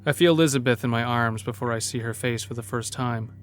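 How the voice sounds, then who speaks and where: A man playing a weary, brooding character who narrates his own story in an introspective, measured way. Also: mid-range voice, faint music behind, natural voice. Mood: brooding, wistful. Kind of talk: first-person audio drama narration.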